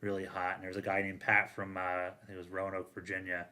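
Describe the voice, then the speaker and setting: A man telling stories in a casual, animated chat, quoting banter and swearing a bit as a firefighter would. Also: low-pitched, fast. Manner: profane, quotes dialogue in banter. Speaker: firefighter and podcast panelist.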